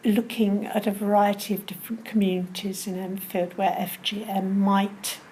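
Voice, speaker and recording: A woman working in community support gives a measured, explanatory account in an interview setting. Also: medium pitch, level tone, a little mellow, breathy edge, low-quality recording. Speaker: community support charity worker.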